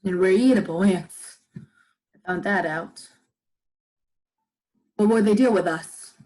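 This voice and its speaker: Young female actor as lost invasion survivor for radio drama. Unhurried, halting, subdued and questioning. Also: medium pitch, a little mellow, close to an everyday voice, poor audio. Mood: despondent. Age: young adult. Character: lost, despondent wanderer after an alien invasion. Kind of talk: amateur radio play acting. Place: living room. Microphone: headset on video call.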